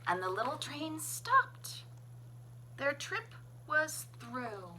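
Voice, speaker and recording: Lady doing a kids book read-aloud, slow and expressive, highish voice, bit of background noise. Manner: expressive, sing-song, deliberate phrasing. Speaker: librarian reading a picture book aloud. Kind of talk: children's storybook read-aloud.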